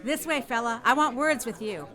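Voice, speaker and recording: A woman's voice: on the high side, normal speed, bright, forceful tone. An actress playing an eager, breezy, slangy girl newspaper photographer in a radio drama. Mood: excited, bossy. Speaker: radio play actor. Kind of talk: radio drama acting in vintage newsroom style.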